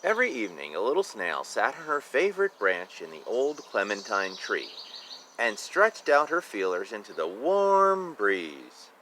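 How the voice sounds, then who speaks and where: A man's voice: fairly low pitch, very animated, a little slow, sharp tone, a little hiss or hum. A man reading a picture book aloud expressively, with informal asides about the illustrations. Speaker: reader of a children's picture book.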